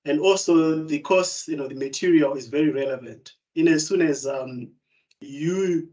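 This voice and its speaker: Halting young man from Zimbabwe. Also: on the high side, a little mellow. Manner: hesitant, many fillers and repeated words. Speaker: student rep. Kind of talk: informal spoken testimonial.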